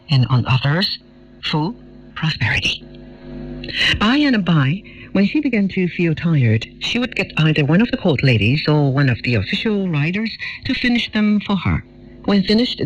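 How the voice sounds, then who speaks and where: A man reading a story aloud as a narrator in a measured, formal way, heard on a shortwave radio recording. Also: a little high, dull audio. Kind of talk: scripted narration, audiobook reading.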